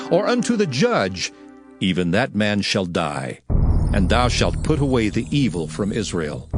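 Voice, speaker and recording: An actor voicing a solemn prophet proclaiming ancient law in a declamatory, emphatic dramatized scripture reading. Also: male voice, medium pitch, lightly acted. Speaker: scripture narrator.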